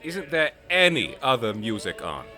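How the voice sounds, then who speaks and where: An actor playing an irritable geneticist in an audio drama, grumbling and sarcastic. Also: male voice, medium pitch, very animated, moderate pace, bright, forceful tone, faint music behind. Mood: annoyed.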